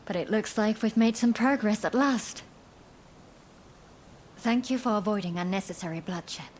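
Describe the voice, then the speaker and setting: A woman's voice: medium pitch, moderate pace, a little mellow, slight background noise, natural voice. A woman voicing a compassionate, resolute doctor in a video game, earnest and polite. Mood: grateful.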